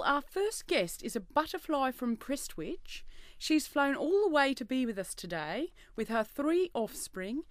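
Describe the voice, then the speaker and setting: A woman playing a chirpy daytime talk show host in a comedy radio play, brisk and presenterly. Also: sits high, no room sound, clean studio sound.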